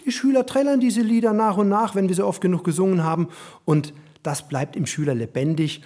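A man's voice: high voice, brisk, darkish tone. A middle-aged man, a music and German teacher, explaining things in spoken, unscripted style.

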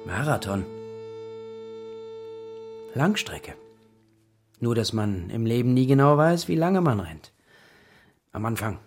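A tender, somewhat reluctant father in a children's radio drama. His mid-pitched voice moves in very lively swings. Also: takes it slowly, long pauses, faint music behind. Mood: reflective, reluctant. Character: reluctant but tender father, a street-violinist. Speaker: actor in a children's radio play.

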